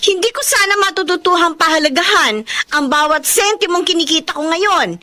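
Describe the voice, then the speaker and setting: A woman's voice: very high-pitched, brisk, sharp tone. A woman acting an exasperated, strict eldest sister of about thirty who supports her family in a Filipino melodrama, emphatic and scolding. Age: 30s.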